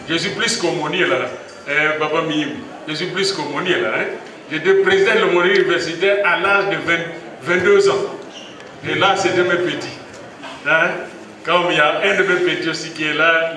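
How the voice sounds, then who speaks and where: A high-pitched Congolese man addresses a ceremony in a booming, echoey hall, heard from well back from the mic. He preaches emphatically, climbing into excited exclamations, while a crowd murmurs around him. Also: distant mic. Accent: Congolese French. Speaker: university rector speaking at a graduation. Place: large assembly hall in Kinshasa. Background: audience in the hall.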